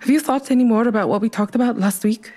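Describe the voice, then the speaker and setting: A woman's voice: on the high side, fast, warm tone, soft background music, natural voice. An actress playing an insistent, anxious woman in an audio drama, speaking carefully and pressing her point. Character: insistent, anxious woman visitor with a hidden agenda.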